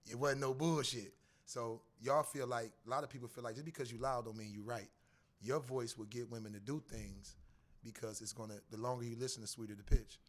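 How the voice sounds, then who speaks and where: A man with a raspy, somewhat squeaky voice, chatting animatedly as a guest rapper on a podcast. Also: a little fast. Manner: animated, acts out voices and rap lines. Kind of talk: casual podcast chat.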